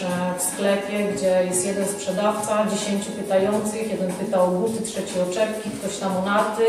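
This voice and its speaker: A woman in her thirties, an instructor giving emphatic, explanatory interview answers, repeating points and adding short tag questions. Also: mid-range voice. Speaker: ski and Nordic walking instructor, interview guest. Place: indoor ski-shop cafe. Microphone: handheld microphone with foam cover. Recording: echoey room, distant sound, music behind.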